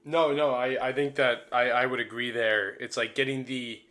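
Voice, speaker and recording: A man with a bright, forward voice of average pitch talks casually on a podcast. He is wordy and likes to repeat a phrase to drive it home. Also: flat delivery, normal speed. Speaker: podcast participant.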